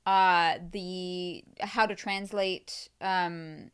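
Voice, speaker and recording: Female co-host, smooth clear voice, easygoing chatter with frequent restarts. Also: lowish voice, fairly even intonation, extremely slow, a bit bright. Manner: hesitant restarts, laughs easily, agreeable. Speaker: podcast co-host. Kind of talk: casual spoiler chat.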